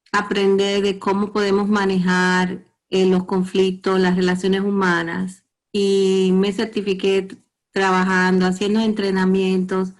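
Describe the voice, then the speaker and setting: Flat-voiced Dominican woman psychotherapist. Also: mid-range voice, low-quality recording. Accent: Dominican Spanish. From Dominican Republic. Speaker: guest psychotherapist. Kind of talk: radio interview.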